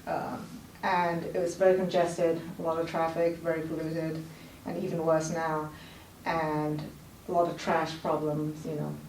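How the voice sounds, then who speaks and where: A woman's voice: deep voice, little pitch movement, a little slow, a little mellow, far from the mic. A woman who grew up in Thailand, talking casually in a panel conversation, with lots of "you know" and filler words. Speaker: resident guest. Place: TV studio. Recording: roomy studio heard from off-mic, faint noise.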